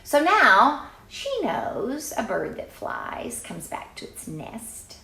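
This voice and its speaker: Expressive, lilting woman storyteller in echoey room. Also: mid-range voice, slow pace, vocal fry, slight background noise. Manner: expressive, exclaiming, conversational. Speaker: children's storyteller and drama teacher. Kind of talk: reading a picture book aloud with chatty asides to children. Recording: roomy, echoey sound.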